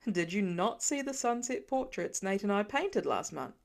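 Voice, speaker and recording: A woman voicing a gruff but playful farmer dad in an audiobook story, with grousing and hammy mock indignation. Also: medium pitch, sing-song, moderate pace. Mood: mock insulted.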